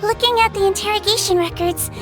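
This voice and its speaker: Very high, sweet childlike nurse. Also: female voice, brisk, music in the background. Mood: reassuring. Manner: gentle, reassuring, earnest. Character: sweet, caring, childlike young head nurse girl. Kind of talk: anime game voice acting.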